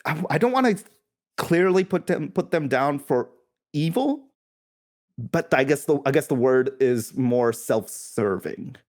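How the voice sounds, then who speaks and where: A man's voice: a little high, expressive, unhurried, a little mellow. A man from India who is a podcast guest, explaining in casual conversation with a thoughtful, self-correcting manner.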